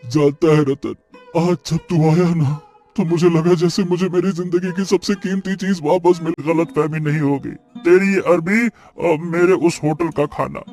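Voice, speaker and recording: A man's voice: on the high side, a little mellow. A man voicing a village farmer in a cartoon story, talking to an old friend.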